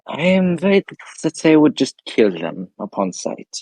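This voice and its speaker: A man's voice: fairly high pitch, very animated, a little mellow, no room sound, rough recording. A man stammering a little as a player in a tabletop game. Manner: halting, stammering. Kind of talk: casual chat at a tabletop game.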